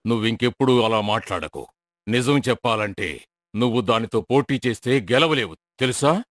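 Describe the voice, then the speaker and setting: A man's voice: mid-range voice, moderate pace, a bit bright, dry recording, clean studio sound, close to an everyday voice. A man voicing a stern, sensible wolf in a children's cartoon story, speaking in a firm, admonishing way. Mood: scolding. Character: stern, sensible male wolf, fair-minded friend and referee. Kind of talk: children's animated story acting.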